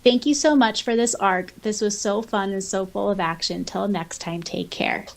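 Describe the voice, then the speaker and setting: A woman with a medium-pitched voice talks as a podcast co-host. She is informal and enthusiastic, switching between casual chat and reading written comments aloud. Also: moderate pace, creaky.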